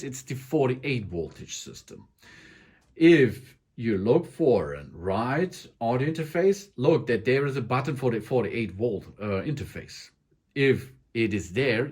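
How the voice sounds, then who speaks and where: A man walks through a home recording setup at an unhurried pace, his pitch rising and falling a lot. His voice is slightly husky, and he stops often to search for words and restart his sentences. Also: darkish tone. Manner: hesitant, frequent ums and restarts. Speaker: tech tutorial maker. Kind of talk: tutorial explanation. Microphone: condenser microphone into audio interface.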